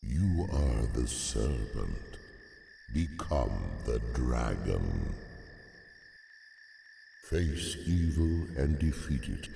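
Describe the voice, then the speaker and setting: A man voicing an ancient dragon spirit in a game cutscene, solemn and cryptic, with gravelly, booming delivery. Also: sing-song, very slow, pauses often, dark tone, faint music behind, full character voice. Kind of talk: video game cutscene acting. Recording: cavernous long reverb.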